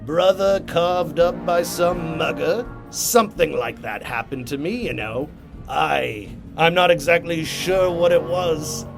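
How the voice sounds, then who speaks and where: A man playing an insane, taunting villain clown in a comic-book drama, theatrical and rambling, lurching between hushed musing and shrill mania. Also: a little slow, creaky, music in the background. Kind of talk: comic-book motion comic acting.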